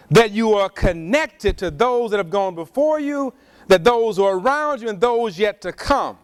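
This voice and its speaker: High-voiced man lecturing emphatically. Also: clear, resonant tone. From Louisiana, USA. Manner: slow, emphatic, preacher-like delivery. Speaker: speaker at a community event. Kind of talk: lecture with call and response.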